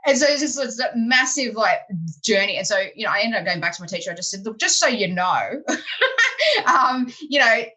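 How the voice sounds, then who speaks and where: A woman hosting an improv podcast, talking casually over a video call, chatty and animated, full of "you know" and "like". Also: fairly high pitch, normal speed, poor audio. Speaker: podcast host and improv teacher. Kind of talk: casual interview chat.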